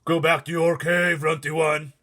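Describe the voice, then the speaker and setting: A huge man-eating giant from a storybook, roars with a booming, pushed-up voice. He is a menacing bully who drags out his taunts at full shout. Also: flat delivery. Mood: bullying, scolding. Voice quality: booming, roaring. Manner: shouted, drawn-out taunting delivery.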